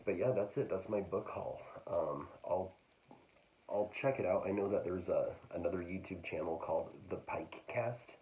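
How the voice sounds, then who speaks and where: Low, gravelly man with hesitant chat. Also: low-quality recording. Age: adult. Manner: hesitant, full of ums and restarts. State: getting raspy, clearing throat. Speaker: book vlogger. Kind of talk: casual book haul talk.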